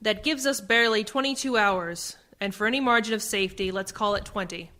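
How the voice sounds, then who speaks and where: Lady playing a distrustful rebel woman in a sci-fi radio play, mid pitch, sharp bright tone, crisp and cutting. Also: brisk, close to an everyday voice. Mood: wary, urgent. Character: suspicious, sharp-tongued female fugitive with empathic powers. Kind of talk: radio science fiction drama acting.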